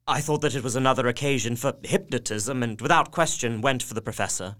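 A man's voice: medium pitch, fast talker, fairly bright tone, close to an everyday voice. An actor playing a devoted young husband, narrating his diary in an earnest, subdued manner. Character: young husband Jonathan Harker, anxious, devoted. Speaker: narrator-diarist. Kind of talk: audio drama narration.

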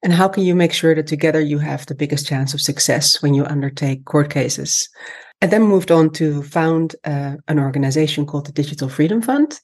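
Low-voiced woman in her forties. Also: darkish tone. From Amsterdam, Netherlands. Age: 40s. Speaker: podcast guest, human rights lawyer. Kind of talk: conversational personal account in an interview.

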